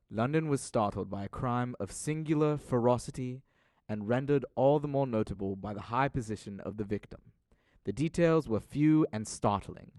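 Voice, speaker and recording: Male narrator for literary audio drama, mid-range pitch, scripted storytelling read, dry acoustic with no room. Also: dark tone, no echo.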